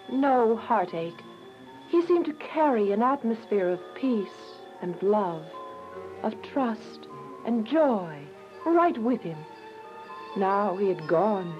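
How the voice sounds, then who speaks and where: An actress playing a devout, earnest young woman imprisoned for her faith in a religious radio drama, emotive and deliberate. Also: mid-range voice. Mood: wistful. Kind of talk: religious radio drama acting with first-person narration. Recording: dull lo-fi radio drama with music.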